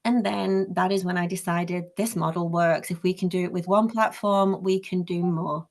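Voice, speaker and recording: Woman, Manchester accent, lowish voice, webinar presenter, earnest, careful, self-correcting, video call. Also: steady tone, normal speed. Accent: Manchester English. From England. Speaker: webinar presenter and company founder.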